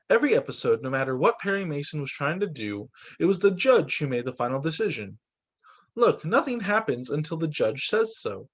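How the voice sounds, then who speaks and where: A man with a fairly high voice reads a storybook aloud to children. His delivery is expressive and lively, with pitch that moves around a lot, in the manner of a teacher at story time. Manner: animated, acts out dialogue for children.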